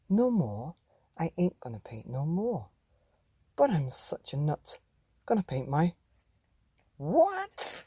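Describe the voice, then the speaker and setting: A man acts out a mischievous child from a picture book, chanting in playful rhyme. The delivery is sing-song and exaggerated, with drawn-out words and very lively pitch, recorded dry. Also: breathy edge, no room sound, heavily acted. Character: mischievous child gleefully painting own body, chanting rhymes.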